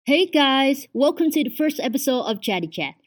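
A young Vietnamese woman in her twenties with a Vietnamese accent hosts a casual solo podcast from home. Her voice sits a little higher than most women's. She talks in an earnest, chatty way, with soft music faintly underneath. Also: very animated, normal speed. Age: 20s. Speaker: podcast host.

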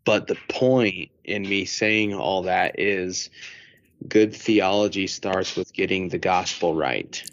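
A man of about thirty speaks very slowly in a fairly low voice. He searches for words in casual podcast discussion. The audio is dry and a bit dull. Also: no room sound. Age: 30s. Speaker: podcast co-host or guest. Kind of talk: casual theology podcast chat.

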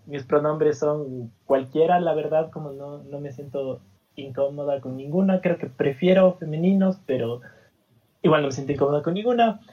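A woman's voice: low-pitched, far from the mic, highs cut off. A woman, chatting reflectively in a casual group. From Cuenca, Ecuador. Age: 20s.

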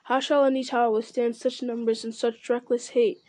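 A woman reading aloud voices a weary, doubtful old warrior king, speaking in a grave, measured way. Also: a little high, level tone, warm tone, no room sound, lightly acted.

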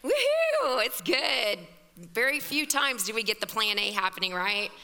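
A woman pastor with a scratchy, hoarse voice, preaching a fervent, conversational sermon. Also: a little high, big pitch swings, a little slow, pushed and bright. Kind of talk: sermon at a women's evening service. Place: church hall. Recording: long reverb of a large hall.

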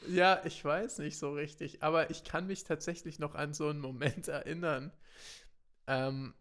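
A young man from Germany, a podcast co-host, telling stories in a relaxed way, laughing often and mixing in English words. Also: fairly high pitch, normal speed. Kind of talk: casual chat.

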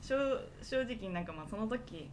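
A woman's voice: medium pitch, animated, moderate pace, distant mic, a little hiss or hum. A woman co-hosting a podcast, telling personal anecdotes in a casual, self-deprecating, confessional way. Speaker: podcast co-host.

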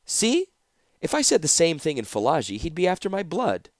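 A man voicing a cruel, breezily sardonic woman torturer in a fantasy audiobook, mocking and calmly menacing. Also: a little high, moderate pace, no room sound, studio quality, pitched above the performer's own voice. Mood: brisk, teasing.

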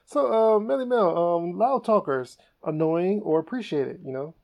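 Creaky-voiced male podcast host. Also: high-pitched, measured pace, muffled recording. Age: adult. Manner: laughs often, animated storytelling. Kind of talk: casual comedic chat.

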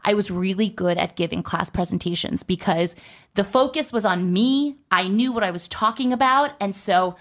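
A woman's voice: mid-range voice, normal speed, fairly bright tone. A young woman telling a personal story to her viewers in a chatty way, full of "like" and "you know". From United States.

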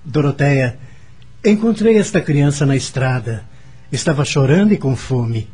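A man's voice: medium pitch, lively intonation, measured pace, darkish tone, slightly rough. An actor playing a kindly, concerned Brazilian husband and father in a radio drama. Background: background music.

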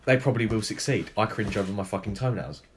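Teen guy on a podcast with his mates, talks quick, swears a lot, voice jumps around, bit of hiss. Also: fairly low pitch. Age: teens. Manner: swears freely, animated, laughs often. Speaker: podcast co-host. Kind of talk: casual chat between friends.

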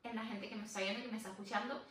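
A woman co-hosting a podcast in casual chat, reflective and quick with agreeing murmurs. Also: mid-range voice, steady tone, a bit bright, recorded from a distance. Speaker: podcast co-host. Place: room where the podcast is filmed. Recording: very echoey room, mic set back, faint noise.

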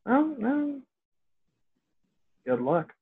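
A young American man, a podcast guest, talking casually. He speaks hesitantly and pauses often to search for words. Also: extremely high pitch, huge pitch swings, husky, no echo. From United States. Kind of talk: casual conversation. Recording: dry, tinny phone-like line.

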